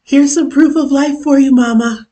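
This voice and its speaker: A woman with a hoarse, worn-out voice, casually chatting as host of her own live stream during a work lunch break, with chatty self-deprecating asides. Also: high voice, steady tone, normal speed, warm tone. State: hoarse, lost voice from illness. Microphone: camera mic.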